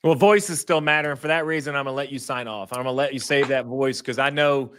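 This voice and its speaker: Hoarse male host of a live racing show, upbeat and chatty, middle pitch. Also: fast, fairly bright tone, a little hiss or hum. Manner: upbeat, conversational, reads fan chat aloud. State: hoarse, says he lost his voice. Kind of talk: live post-race show hosting.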